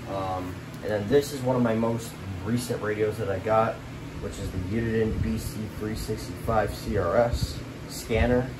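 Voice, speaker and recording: A man's voice: fairly low pitch, normal speed. A man giving a casual, meandering show-and-tell of a collection, filmed on a handheld camera in a small bedroom. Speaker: hobbyist collector showing a collection. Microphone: handheld camera, behind the lens. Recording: distant mic, loud room hum and noise.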